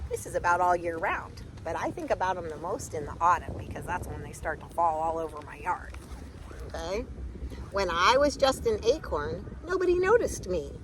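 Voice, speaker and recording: A woman reading a picture book aloud to young children in an expressive, chatty way with casual asides and exclamations. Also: mid-range voice, normal speed, a little hiss or hum, rough recording.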